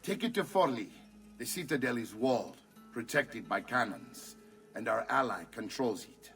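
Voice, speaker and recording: Game patron: older nobleman, commanding, very varied pitch, a little distant, music behind. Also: expressive, moderate pace, creaky, fairly bright tone. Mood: authoritative. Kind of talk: video game cutscene acting.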